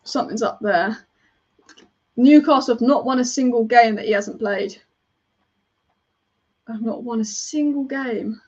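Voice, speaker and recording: A woman's voice: on the high side, unhurried, long pauses, a little mellow, lo-fi sound. A woman commentating a football match as a fan on a live watch-along, chatty and partisan, rambling and reacting to the play as it happens.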